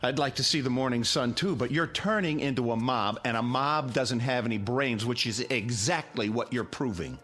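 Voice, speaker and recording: An American actor playing a reasonable, indignant neighbor in a radio drama, reproachful and sardonic. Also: male voice, medium pitch, normal speed, few pauses, fairly bright tone, faint music behind, natural voice. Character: indignant, reasonable neighbor pleading against the mob.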